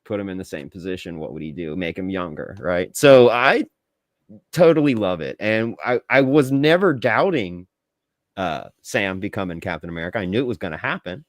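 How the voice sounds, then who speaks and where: A man's voice: sits low, expressive, moderate pace, dry recording, studio quality. A middle-aged man co-hosting a podcast, chatting casually in a blunt, emphatic way. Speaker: podcast co-host. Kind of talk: casual chat.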